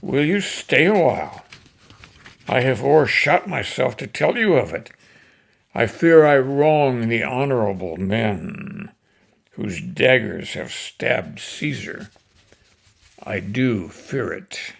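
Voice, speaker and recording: A man reciting the speech of a grieving, persuasive Roman orator, declaiming with sudden swells and drops in loudness. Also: medium pitch, lively intonation, slow pace, creaky, heavily acted. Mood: rousing. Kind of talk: dramatic recitation of a classic play speech.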